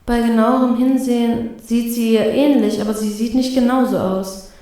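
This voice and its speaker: A woman reading a first-person fan fiction story as an expressive narrator. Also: fairly high pitch, moderate pace, no room sound.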